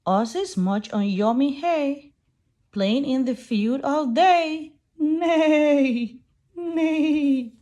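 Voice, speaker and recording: A woman reading a picture book aloud to young children in an expressive way, putting on animal sounds. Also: high-pitched, drawn-out words, muffled recording.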